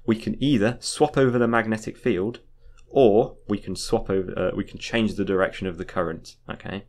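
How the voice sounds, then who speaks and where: A man's voice: lowish voice, fairly even intonation, normal speed, darkish tone. A man teaching in an informal explainer style, going step by step, repeating points and often checking in with a short tag. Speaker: physics teacher. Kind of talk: informal explainer lesson, talking through a diagram.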